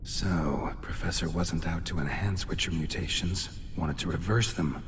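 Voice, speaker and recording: Actor as a gruff, very deep-voiced monster hunter. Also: male voice, normal speed, noisy background, natural voice. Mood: musing. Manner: terse, clipped, deadpan. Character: gruff, stoic male monster hunter for hire. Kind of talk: video game character acting.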